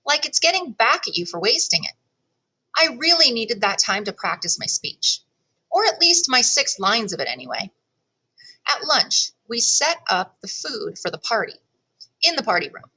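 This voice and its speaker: Animated woman reading a children's story. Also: fairly high pitch, slightly rough, rough recording. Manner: animated, storytelling delivery with deliberate emphasis. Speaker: teacher reading a chapter book aloud. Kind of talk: expressive children's storybook narration in first person.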